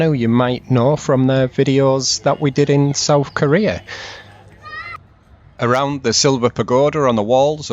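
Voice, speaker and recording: A man from England, narrating a travel vlog voice-over in a steady, informative tour-guide way. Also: medium pitch, muffled recording.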